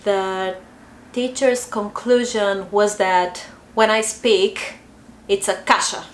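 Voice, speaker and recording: A woman's voice: mid-range voice, fairly even intonation, takes it slowly, slight background noise. Bulgarian-accented woman chatting in echoey room. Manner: chatty, hesitant, many fillers. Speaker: vlogger. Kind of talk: casual talk to camera. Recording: echoey room, faint ambience.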